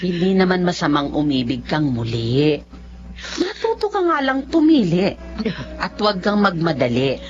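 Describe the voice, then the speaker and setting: A very animated, low-voiced Filipino sister. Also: female voice, normal speed. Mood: chiding, consoling. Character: frank, consoling older sister giving advice. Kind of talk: Filipino radio drama acting. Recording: dull lo-fi radio sound, faint music.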